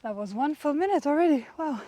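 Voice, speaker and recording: A woman with a Swedish accent, a native Swedish speaker, leading a workout while exercising. She is chatty and out of breath, and pauses to search for words. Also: high-pitched, animated, warm tone, slight background noise. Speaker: fitness instructor. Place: outdoors.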